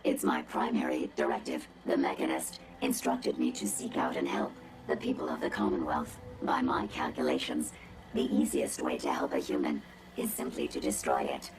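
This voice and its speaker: A woman's voice: a bass voice, normal speed, background noise. An actress playing a haughty, condescending female robot with a robotic filter, sardonic, precise and pompous in a video game. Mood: smug, sardonic. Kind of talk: video game cutscene acting.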